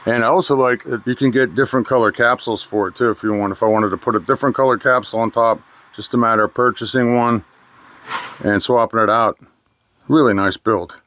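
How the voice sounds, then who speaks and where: A man's voice: mid-range voice, fairly even intonation, a little fast, a bit bright. A middle-aged man with a nasal voice, giving a plain, friendly product review.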